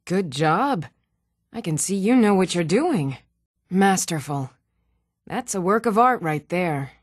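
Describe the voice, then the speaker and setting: An actress voicing an even-tempered townswoman in a fantasy video game. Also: fairly low pitch, no room sound, studio quality, exaggerated voice. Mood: impressed. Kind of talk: video game character dialogue.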